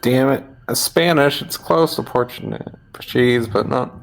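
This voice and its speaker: A man's voice: mid-range voice, measured pace, vocal fry, faint music behind. Casual man playing a tabletop game.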